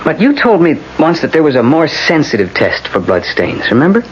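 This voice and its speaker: World-weary male lawyer, sardonic, animated intonation, radio drama, faintly noisy old broadcast. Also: on the high side, brisk, lo-fi sound. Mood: brisk, hopeful. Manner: sardonic, glum. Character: weary, wry male defense lawyer expecting defeat.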